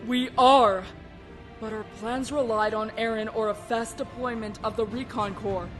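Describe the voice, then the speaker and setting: A commanding female admiral acted by a woman, slightly high in pitch. She sounds blunt and world-weary, with faint music behind her. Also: steady tone, moderate pace, lightly acted. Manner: blunt, authoritative. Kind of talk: animated sci-fi parody voice acting.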